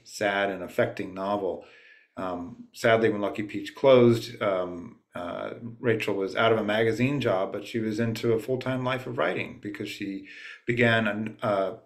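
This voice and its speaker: A low-pitched man hosts a book club from home over a video call. He speaks haltingly, with many fillers, as he introduces a guest author. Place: at home late at night.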